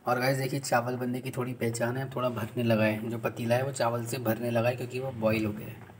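A man's voice: mid-range voice, little pitch movement, brisk, a little hiss or hum. A man casually talking viewers through cooking in a small home kitchen, explaining each step as he goes. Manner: chatty, explains steps to viewers as he cooks. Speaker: vlogger.

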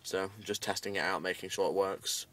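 British male hobbyist vlogger, lowish and very monotone, casual rambling update, slightly off-mic. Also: normal speed, recorded from a distance. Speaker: amateur radio hobbyist making a video update. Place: inside a parked car.

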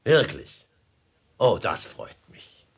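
Radio-play villain: husky, falsely courteous bandit host, theatrical and unctuous, with lively melodic delivery. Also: male voice, sits low, slow pace, heavily acted. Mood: mock friendly. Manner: unctuous, flowery, mock-friendly, theatrical. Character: smooth, menacing desert robber chief, falsely courteous host. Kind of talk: 1950s German radio drama acting.

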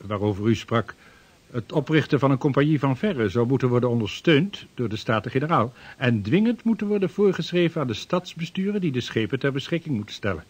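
An actor playing a courteous, earnest sixteenth-century expedition planner in radio drama, speaking formally and deliberately with a husky voice. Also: male voice, medium pitch, animated. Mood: persuasive. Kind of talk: Dutch radio drama acting.

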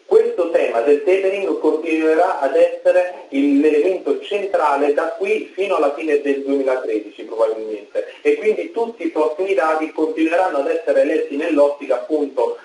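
A young man giving measured financial market commentary, with frequent hesitations, heard over a video call. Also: a little high, darkish tone. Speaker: market analyst guest. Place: office, on a remote video link. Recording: roomy video call audio.

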